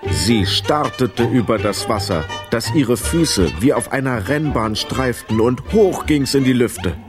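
A man narrating a children's radio play as an expressive storyteller. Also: medium pitch, a little slow, over music.

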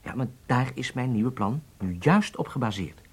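An actor playing a strict, confident riding instructor in a radio drama, commanding and insistent. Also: male voice, medium pitch, animated, normal speed, breathy edge, no echo. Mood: calculating, measured. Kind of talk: Dutch radio drama acting.

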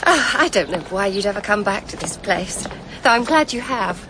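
A woman's voice: medium pitch, animated, normal speed, background noise, natural voice. A woman playing a fluttery, anxious British woman. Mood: eager, anxious. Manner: fluttery, chattering. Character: anxious woman greeting a returning friend. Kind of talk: radio drama acting.

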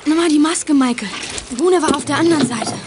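Woman as high-voiced, quick-talking girl detective. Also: background noise, lightly acted. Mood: matter-of-fact. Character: lively, wary girl of a detective gang. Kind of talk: 1980s German children's radio play.

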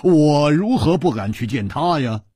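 A man's voice: a little high, expressive, unhurried, dark tone, breathy edge, dry recording, studio quality. A man voicing a cocky, hot-tempered swordsman in an audiobook, drawling and teasing.

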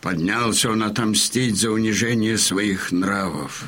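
A man's voice: fairly low pitch, fairly bright tone, slightly rough. An actor playing an old Cossack in a radio play, telling war news to his crony in a folksy, emphatic way. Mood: solemn, proud. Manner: folksy, emphatic, unhurried storytelling. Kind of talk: Russian audio drama acting.

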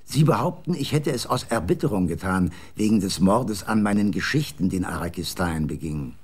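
Bitter eccentric writer guy cooking late, lowish voice that swings all over, sardonic. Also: animated, moderate pace, a little mellow, breathy edge. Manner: sardonic, theatrical. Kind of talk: radio drama acting.

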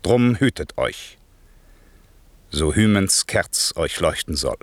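A man's voice: deep voice, big pitch swings, takes it slowly, dry recording, heavily acted. An actor playing a stern, commanding old magician and father in a radio play, speaking solemn, declamatory verse. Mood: stern warning.

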